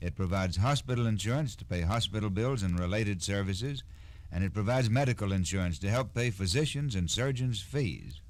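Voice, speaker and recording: A man speaking as an expert guest in a scripted 1960s radio explanation, formal, deliberate and instructive. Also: fairly low pitch, expressive. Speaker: Social Security expert guest.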